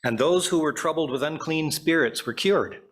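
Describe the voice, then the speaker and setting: A man reading scripture aloud in church as a lay reader, with formal, measured phrasing. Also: fairly high pitch, a bit bright.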